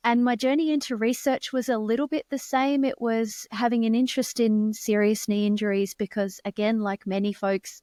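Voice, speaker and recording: Australian woman, forties, measured interview guest; dry close sound. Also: mid-range voice, darkish tone, no echo. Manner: articulate, measured, with occasional restarts. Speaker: guest researcher. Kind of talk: conversational interview.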